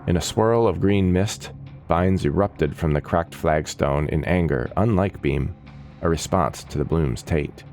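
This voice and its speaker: Deep-voiced man narrating fantasy. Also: measured pace, darkish tone, soft background music. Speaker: audiobook narrator. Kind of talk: scripted fantasy story narration.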